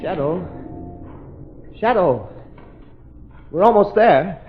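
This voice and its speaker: A man playing an earnest young fiancé with a husky voice and urgent delivery, in 1930s radio drama. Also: sits high, expressive, slow pace, soft background music, full character voice. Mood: urgent, determined. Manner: urgent, agitated. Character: earnest, bewildered young fiancé turned rescuer. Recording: thin, phone-like old radio recording.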